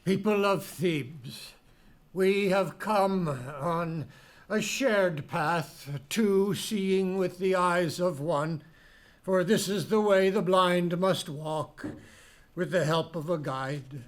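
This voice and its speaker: An actor playing an old blind prophet, solemn and deliberate, in a dramatic reading of Greek tragedy. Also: male voice, high-pitched.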